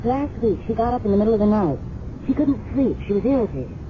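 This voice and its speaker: An actress as a shaken American woman in a seventies radio play, speaking of her murdered roommate. She is candid and confiding, with some hesitation. The sound is dull and clearly noisy. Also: on the high side, animated, fast, natural voice. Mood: troubled, recalling. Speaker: radio drama actor. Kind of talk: 1970s radio drama acting. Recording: muffled, noisy 1970s radio broadcast recording.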